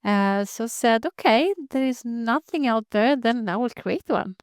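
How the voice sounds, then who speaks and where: Earnest woman in her thirties, grew up in Greece, smooth clear voice, dry close sound. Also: a little high, lively intonation, a little slow, dry recording. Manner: earnest, many fillers and restarts. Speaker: community founder, data engineer. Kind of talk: interview answers.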